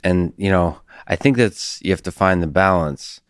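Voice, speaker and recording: Podcast host, low voice, reflective and halting, dry close sound. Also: male voice, clear, resonant tone, dry recording. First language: Russian. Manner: reflective, halting, self-described introvert. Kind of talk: casual long-form conversation.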